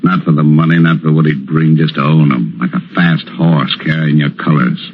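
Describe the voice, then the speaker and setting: A man's voice: rumbling low, steady tone, normal speed. An actor playing a falsely genial, menacing crime boss with slangy American tough-guy talk, in 1940s radio suspense style. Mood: slyly genial. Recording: muffled, noisy vintage radio recording.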